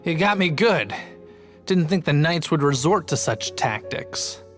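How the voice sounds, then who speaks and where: A man's voice: fairly high pitch, lively intonation, measured pace. A man voicing a cocky, cowardly treasure thief in a video game, brash and whiny when scared. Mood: grudging. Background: game music.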